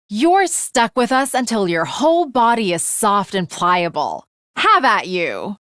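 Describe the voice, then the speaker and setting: A woman's voice: on the high side, a bit bright, no echo, clean studio sound. A woman voicing an earnest, lovestruck teenage girl swordswoman in an anime video game, with formal, archaic, dramatic diction.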